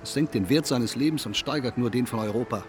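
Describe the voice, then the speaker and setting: An actor playing a cynical, coolly provocative man in a stylised radio play, smug, needling and deliberate. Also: mid-range voice, faint music behind, lightly acted. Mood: cool, argumentative.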